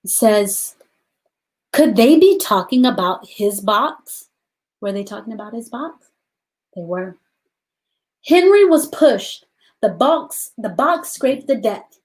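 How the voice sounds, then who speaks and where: A woman hosting a children's storytime, reading aloud deliberately in short phrases and putting questions to her young listeners. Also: a little high, lively intonation.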